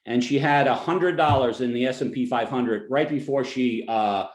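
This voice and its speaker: A man with a New Jersey accent presents to clients over a webinar, talking in an easygoing way with joking asides and frequent restarts. His voice sits at an ordinary middle pitch. The recording has a roomy echo. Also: normal speed. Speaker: financial advisor.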